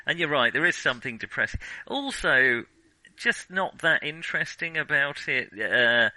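A man's voice: on the high side, expressive, moderate pace, pushed and bright, no echo, poor audio. A man co-hosting a casual film discussion, conversational and often correcting himself as he goes. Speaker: podcast co-host.

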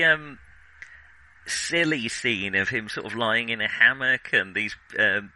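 A man's voice: lowish voice, pushed and bright, dry recording, rough recording. A man co-hosting a film podcast, chatting casually and thoughtfully, with some hesitation.

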